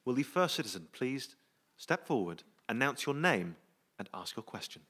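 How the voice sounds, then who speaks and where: A man's voice: medium pitch, sing-song, moderate pace, slightly rough. A man hosting a live comedy show in a mock-officious, formal manner, taking questions from the audience. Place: radio theatre stage before an audience. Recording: live theatre, loud audience, noisy.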